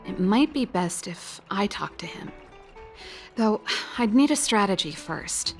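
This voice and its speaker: A wry, confident scientist, music behind. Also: female voice, medium pitch, animated, measured pace, darkish tone. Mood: matter-of-fact, considering. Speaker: researcher character in a video game. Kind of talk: video game voice acting, expressive dialogue. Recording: game music playing behind the voice.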